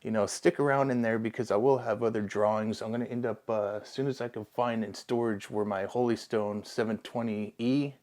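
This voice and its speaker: Hoarse, low-voiced man vlogging at home. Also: brisk, hardly any pauses. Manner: relaxed, rambling, many fillers. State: losing his voice, hoarse. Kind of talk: casual chat to camera. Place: indoor hobby room with shelves.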